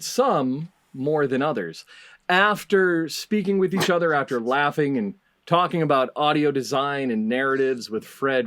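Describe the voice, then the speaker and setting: A man hosting a comedy panel game in a deliberate, mock-ceremonial way with dramatic pauses. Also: fairly high pitch, fairly bright tone. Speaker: game show host and headmaster.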